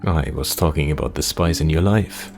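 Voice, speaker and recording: A man voicing a calm, teasing tavern keeper in a fantasy game, listening patiently and prompting in a measured, wry way. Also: extremely low pitch, creaky, soft background music, pitched below the performer's own voice.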